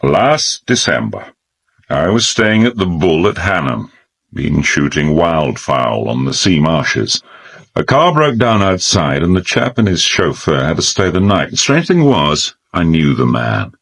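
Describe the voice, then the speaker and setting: An actor playing a musing English gentleman sportsman in a radio drama, ruminative and halting, with a British accent. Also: very deep voice, sing-song, gravelly, breathy edge, full character voice. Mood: reflective.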